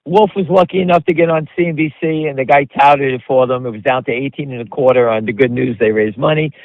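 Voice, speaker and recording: A man's voice: medium pitch, fast talker, no room sound, phone-quality sound. A man hosting an off-the-cuff stock commentary call, speaking bluntly and emphatically, repeating himself as he addresses listeners directly. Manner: conversational, talks directly to listeners.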